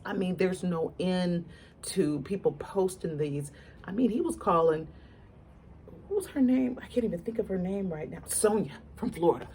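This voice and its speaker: A woman's voice: on the low side, a little mellow, a little hiss or hum. A woman giving casual celebrity gossip commentary in a conversational way, repeating words for emphasis and addressing her viewers directly. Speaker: online commentator.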